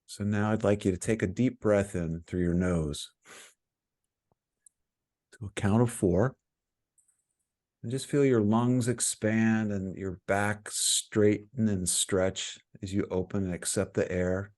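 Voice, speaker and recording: A man with a low, mellow voice leads a guided meditation. He speaks very slowly and calmly, leaving frequent pauses between short phrases. The delivery is soothing and instructional, like a teacher settling listeners into stillness.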